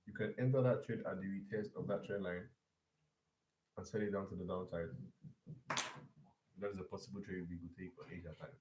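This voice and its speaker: A man's voice: sits low, fairly even intonation, a little fast, pauses often, a little mellow. A man live-streaming a forex chart breakdown and talking loosely to his chat, with restarts and repeats. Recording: echoey room.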